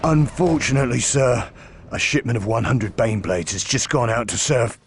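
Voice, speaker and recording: A man's voice: medium pitch, lively intonation, moderate pace, a little hiss or hum, lightly acted. A man playing a dutiful, formal commissar in a video game, giving deferential military reports. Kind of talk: dramatic video game cutscene acting.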